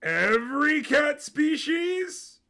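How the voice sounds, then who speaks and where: A man's voice: very high voice, big pitch swings, very slow, pushed and bright, pitched above the performer's own voice, heavily acted. A man voicing a young prince in an audio story, a flippant and nervous son with a casual way of talking.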